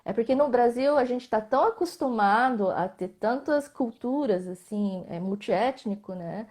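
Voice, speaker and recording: A Brazilian woman talks over a video call with a mellow, warm voice. She is reflective and unhurried in her thinking, often ending her sentences with a questioning tag and letting her voice fade away at the end of her thoughts. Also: a little high, lively intonation. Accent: Brazilian Portuguese. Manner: reflective, frequent tag questions, trailing off softly. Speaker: visual artist guest. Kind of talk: live online interview.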